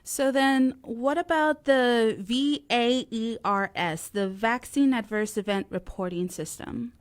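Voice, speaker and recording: Female co-host, unhurried delivery, dry close sound, for interview questions and show announcements. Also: fairly high pitch, clean tone, no echo. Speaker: talk radio co-host.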